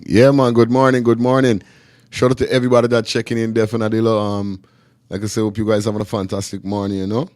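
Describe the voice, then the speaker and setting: A man's voice: lowish voice, little pitch movement, fast, darkish tone, no echo. A man with a Guyanese accent hosting a casual live stream, talking in repetitive, slangy street talk. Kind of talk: casual live chat commentary.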